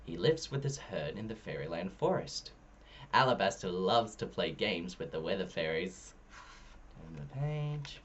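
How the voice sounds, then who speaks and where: A man reading a children's story aloud expressively, shifting his pitch between narration and dialogue. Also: big pitch swings, unhurried, vocal fry, lo-fi sound. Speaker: storybook reader.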